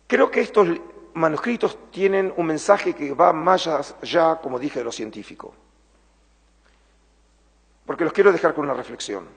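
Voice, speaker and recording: A man's voice: a little high, lively intonation, creaky, slightly rough. Argentine man lecturing in a reverberant hall. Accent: Argentine Spanish. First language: Spanish. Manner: measured, earnest, slows down for emphasis. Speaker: lecturer, museum curator. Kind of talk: public lecture. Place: large former synagogue hall.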